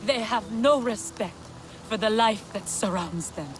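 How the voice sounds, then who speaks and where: A woman's voice: a little high, measured pace, a little hiss or hum, lightly acted. A woman playing a proud, solemn alien clan warrior in a video game. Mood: indignant, resolute.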